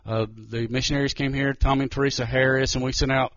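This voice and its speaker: A man giving a nervous, halting missionary testimony in church, often restarting his sentences. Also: mid-range voice, little pitch movement, fairly bright tone, no room sound, muffled recording. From Georgia, US.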